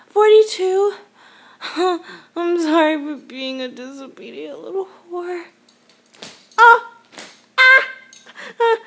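A woman counting aloud in a roleplay, tearful and whimpering, with breathy laughs and gasps between counts. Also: very high voice, a bit bright, a little hiss or hum.

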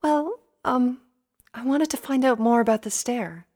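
A woman's voice: a little high, measured pace, darkish tone, pitched above the performer's own voice. A woman voicing a timid, apologetic young female pony with a hesitant, stammering delivery in a storybook reading. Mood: nervous.

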